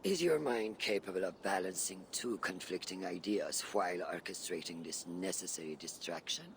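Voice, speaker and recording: An actor playing a seasoned older assassin mentor in a video game, shrewd and patient, speaking in measured, formal, archaic phrases. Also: male voice, low voice, gravelly, slight background noise. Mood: low, scheming. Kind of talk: dramatic video game dialogue.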